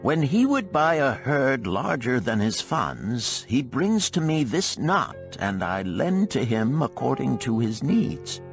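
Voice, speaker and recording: A man's voice: mid-range voice, sing-song, takes it slowly, vocal fry, hardly any pauses, faint music behind, pitched above the performer's own voice, full character voice. A man voicing a shrewd older gold lender in a storybook, speaking in an archaic, emphatic, declamatory way. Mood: firm, confiding.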